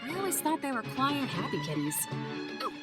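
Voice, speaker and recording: A woman's voice: high voice, sing-song, fairly quick. A woman playing a troubled, polite young scholar in a game cutscene, speaking earnestly and a little hesitantly. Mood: curious, fond. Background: loud music.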